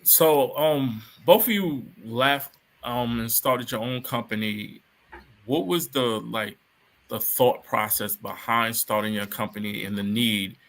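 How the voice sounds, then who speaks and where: Male host, halting questions, bright voice. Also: mid-range voice. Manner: hesitant, searching phrasing with fillers. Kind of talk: interview questions.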